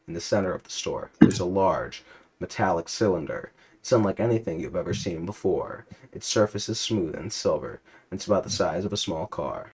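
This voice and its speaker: A man giving a comic dramatic reading of a story, in a deadpan manner, sometimes stumbling and rereading lines. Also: deep voice, poor audio. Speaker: reader.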